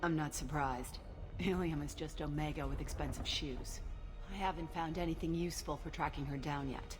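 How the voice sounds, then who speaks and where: A woman's voice: deep voice, moderate pace, lightly acted. An actress playing a brash, self-assured female alien special agent in a video game, sardonic, dry and commanding. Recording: music playing behind the voice. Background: game soundtrack music.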